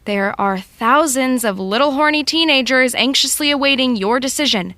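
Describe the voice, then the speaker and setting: A no-nonsense woman assistant, wry and bossy in a naturalistic audio drama. Her voice is bright and forceful and on the high side, recorded very dry. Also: normal speed, no echo. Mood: firm teasing. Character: brisk, wry, no-nonsense female TV showrunner's assistant.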